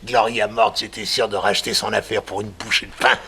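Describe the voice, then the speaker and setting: An older man playing a mad, vengeful murderer who gloats theatrically, with a gravelly, husky voice, in a 1960s French radio crime drama. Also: on the low side, flat delivery, a little fast, bright, forceful tone, slight background noise. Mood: bitter gloating.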